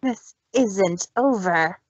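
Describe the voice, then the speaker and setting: A woman's voice: mid-range voice, extremely slow, dry recording, muffled recording. A woman voicing a deranged, menacing villainous mare who refuses to lose, in a fan fiction dramatic reading. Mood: seething denial. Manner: menacing, defiant, unhinged.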